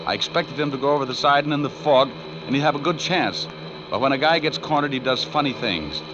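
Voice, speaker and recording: An actor playing a tough, wisecracking private detective in a 1940s radio drama, with an American accent and a wry, clipped delivery. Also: male voice, mid-range voice, brisk, lightly acted. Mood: hard-boiled, wry. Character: tough wisecracking male private detective narrating his case. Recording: muffled old 1940s radio recording, music behind.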